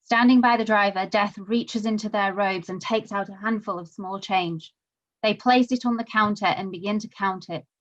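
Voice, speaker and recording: A woman's voice: medium pitch, a bit bright, dull audio. A woman reading stage directions as scripted narration.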